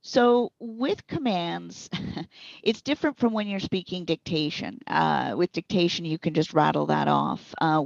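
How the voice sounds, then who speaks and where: A woman's voice: lowish voice, gravelly, no echo. A middle-aged woman giving encouraging, conversational explanations as a guest trainer, in slightly dull audio. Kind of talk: conversational instructional interview answers.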